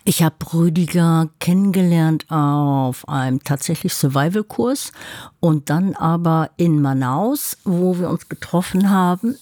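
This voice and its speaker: A woman's voice: sits low, mellow, no echo, studio quality. An older woman from Germany, a guest activist telling her story in a firm, matter-of-fact interview manner. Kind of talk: interview conversation.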